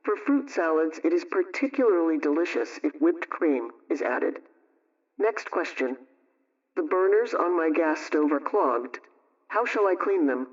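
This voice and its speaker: A man with a fairly high voice reads household advice from a script, sounding somewhat far from the microphone. His delivery is measured and instructional, and the radio sound is dull and muffled. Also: sing-song. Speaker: radio homemaking program presenter.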